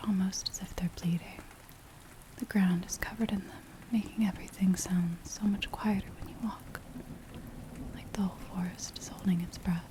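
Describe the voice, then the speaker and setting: A woman playing a frightened villager in a soft, breathy, whispered ASMR roleplay, speaking in a hushed, hesitant way. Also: medium pitch, natural voice. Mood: hushed, foreboding. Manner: hushed, hesitant, slow storytelling. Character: frightened village woman pleading with a werewolf tracker. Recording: steady rain-like background noise. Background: rain sounds.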